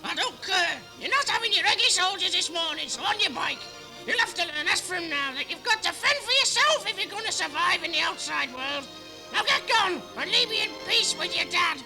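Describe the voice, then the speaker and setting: A woman's voice: very high-pitched, animated, a little fast, over music, poor audio. A woman playing a shrieking, nagging mother in a comedy radio play from a 1970s hospital station. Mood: angry. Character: shrill, scolding, melodramatic mother of a grown son.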